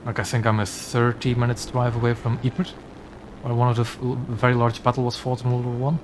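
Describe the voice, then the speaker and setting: A man's voice: mid-range voice, monotone, brisk, background noise. A man from Belgium with a mixed Belgian and English accent, chatting casually with fillers while streaming a game. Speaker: gaming streamer. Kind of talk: casual commentary while playing.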